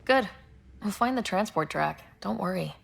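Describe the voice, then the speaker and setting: Confident young explorer, slightly creaky. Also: female voice, medium pitch, lively intonation, moderate pace, a little hiss or hum. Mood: supportive, determined. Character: confident, friendly young female space explorer.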